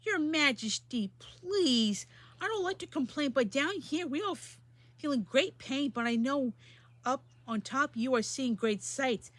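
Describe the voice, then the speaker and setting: A woman's voice: sits high, expressive, measured pace, fairly bright tone, dry recording, voice pushed up. Woman voicing a meek, groaning little turtle. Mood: pleading, pained. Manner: groaning, pleading. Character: meek, suffering little turtle, politely protesting.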